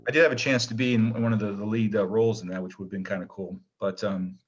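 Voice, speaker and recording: Low-voiced man chatting over a dull connection. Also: steady tone, quick pace, husky. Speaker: interview guest, actor. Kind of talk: casual interview chat.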